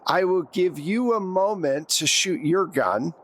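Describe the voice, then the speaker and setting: A woman's voice: fairly low pitch, expressive, a little slow. A woman running a tabletop role-playing game, narrating scenes and addressing her players directly in a conversational way. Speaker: game master. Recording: noticeable background noise, room ambience.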